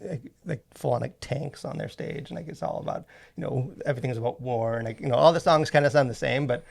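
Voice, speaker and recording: A man's voice: mid-range voice, fast talker, creaky. A man hosting a podcast in a casual, rambling, enthusiastic way, leaning heavily on "like" as he talks. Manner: rambling, enthusiastic, heavy use of "like". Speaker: podcast host and movie reviewer.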